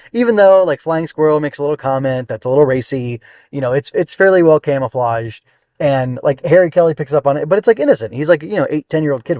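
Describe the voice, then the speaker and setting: Higher-pitched man rambling through a podcast review. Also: a little mellow, dry recording, studio quality. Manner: rambling, searching for words, thinking aloud. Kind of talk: casual unscripted review monologue.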